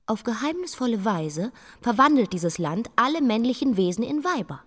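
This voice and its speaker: A woman's voice: a little high, big pitch swings, normal speed. An actress playing a proud, defiant young queen in a radio play, speaking in a direct, commanding, sardonic way. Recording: echoing hall with long reverb.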